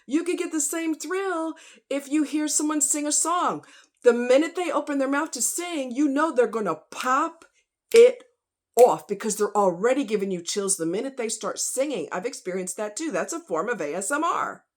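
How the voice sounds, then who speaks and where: A woman's voice: sits high, normal speed. Casual woman vlogger, chatty and slangy. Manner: conversational, rhetorical questions, informal slang. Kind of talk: casual rambling opinion vlog.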